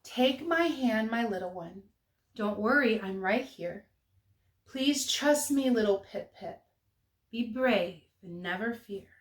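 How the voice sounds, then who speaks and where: Mother penguin, played by a woman, storybook character, gentle, reassuring, highish voice, echoey room. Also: expressive, slow pace. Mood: soothing. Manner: soothing, coaxing. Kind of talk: storybook read-aloud character voice.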